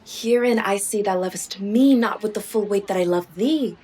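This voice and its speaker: A woman's voice: medium pitch, normal speed, darkish tone, lightly acted. A young woman playing an affectionate, witty duke's daughter, with effusive, playful Shakespearean delivery in a radio play. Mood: tender, earnest. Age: young adult.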